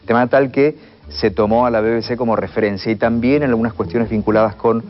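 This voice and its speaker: A man with an Argentine accent giving a measured, formally worded explanation in a documentary interview. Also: medium pitch, fairly even intonation, lo-fi sound, soft background music. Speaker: interviewed radio commentator.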